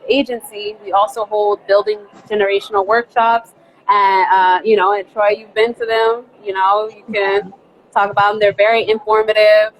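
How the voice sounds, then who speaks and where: A woman's voice: medium pitch, slight background noise, rough recording. Earnest woman guest on a video call. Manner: earnest, lots of fillers. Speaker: guest, business workshop presenter. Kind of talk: casual chat.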